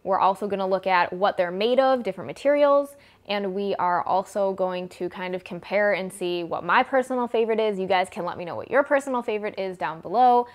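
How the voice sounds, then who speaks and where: A woman's voice: medium pitch, brisk. A woman in her twenties with a Midwestern American accent, giving a chatty, informal product review as a vlogger. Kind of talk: casual product review to camera. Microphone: clip-on lapel mic.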